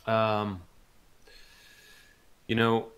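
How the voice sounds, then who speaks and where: A man chatting casually as a voice actor being interviewed, thoughtful and halting as he searches for words. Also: fairly low pitch, monotone, fairly bright tone, clean tone, muffled recording.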